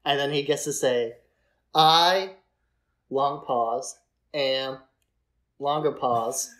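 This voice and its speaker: This is a podcast co-host with a medium-pitched voice, talking casually about movies. The delivery is conversational and loose, often breaking into a chuckle. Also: drawn-out words, a bit bright. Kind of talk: casual film discussion.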